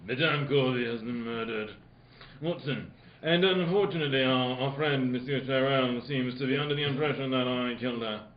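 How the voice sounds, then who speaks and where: A man playing a flippant, easily distracted detective in a comic radio play, halting and prone to irreverent asides. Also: medium pitch, muffled recording, slight background noise, natural voice. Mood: wry. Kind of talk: comic old-time radio drama acting.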